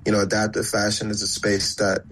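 A fairly low-voiced man with a gravelly edge, speaking as a guest designer. He talks in a flat, measured, earnest way, with faint noise in the background. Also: low-quality recording. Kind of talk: casual interview.

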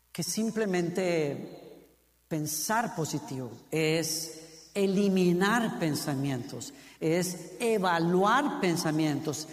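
A man from Costa Rica with a Costa Rican Spanish accent, preaching a sermon, asking the congregation questions and building to fervent emphasis with repeated phrases. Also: high voice. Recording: long hall reverb.

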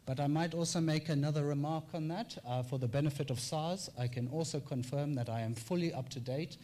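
A man from South Africa with a South African accent, giving a measured, formal conference presentation and using rhetorical repetition. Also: a little high, dark tone. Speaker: tax clinic presenter.

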